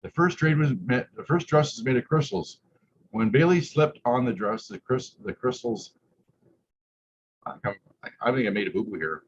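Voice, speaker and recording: A man's voice: mid-range voice, animated, moderate pace, long pauses. A man who is a drag queen reading aloud at story time, stumbling over words at times and chatting casually between passages with the odd "eh". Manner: tags remarks with "eh". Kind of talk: story time read-aloud with chanted refrains and casual asides. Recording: muffled lo-fi audio with clear background noise.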